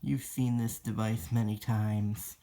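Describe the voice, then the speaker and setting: Casual hobby demo presenter: unhurried and gravelly, delivering instructions in halting pieces. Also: medium pitch, little pitch movement, mellow. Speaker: demonstrator in a homemade electronics video. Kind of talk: casual hands-on product demo.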